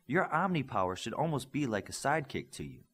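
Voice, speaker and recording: A man's voice: medium pitch, sing-song, brisk, a bit bright, husky, pitched above the performer's own voice. A man voicing a self-assured young female superhero who coaches and explains in an audiobook. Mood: explaining, confident.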